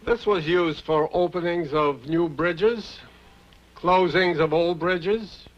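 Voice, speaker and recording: Older male interviewee, measured and formal recollections, slightly muffled vintage documentary sound. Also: a little high, soft background music. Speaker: film composer interviewed as a witness. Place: interview recorded in New York.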